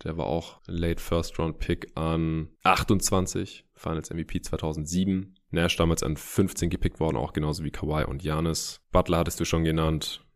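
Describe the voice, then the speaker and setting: A man's voice: extremely low pitch, level tone, moderate pace, hardly any pauses. A man hosting a casual basketball podcast, going through facts in an analytical, methodical way. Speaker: podcast host.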